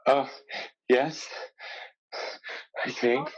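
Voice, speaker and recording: A woman playing a wary pirate officer in a staged play reading, deliberate and halting, with dull recorded sound. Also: a bass voice, fairly even intonation, husky, full character voice. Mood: shaken.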